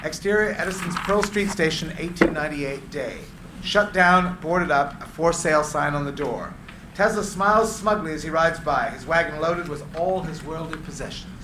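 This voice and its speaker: Higher-voiced man narrating in echoey room. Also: normal speed. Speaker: narrator of stage directions. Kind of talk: scripted narration at a live screenplay reading. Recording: echoey room with clear background noise.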